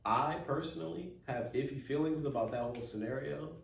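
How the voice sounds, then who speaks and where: An American man telling stories casually on a podcast in a laid-back, slangy, halting way. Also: medium pitch, creaky. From born in Memphis, USA. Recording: roomy, distant mic, faint background noise.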